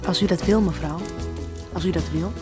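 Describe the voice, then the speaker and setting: A woman's voice: fairly low pitch, normal speed, over music, natural voice. A woman voicing an island woman recalling her youth in a radio play, speaking earnestly. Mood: recounting. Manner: earnest, recounting.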